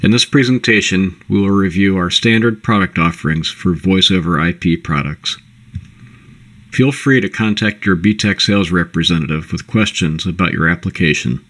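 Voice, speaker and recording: A man reading a scripted corporate product presentation in a measured, instructional way. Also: sits low, fairly bright tone. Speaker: presenter of a company product overview.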